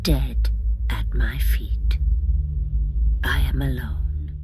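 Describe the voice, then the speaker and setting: Slow, bleak dark-side assassin. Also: female voice, a bass voice, fairly even intonation, exaggerated voice. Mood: bleak, subdued. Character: bleak female dark-side apprentice assassin, obedient yet conflicted. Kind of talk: audio drama narration and acting. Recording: dramatised audio with music underneath.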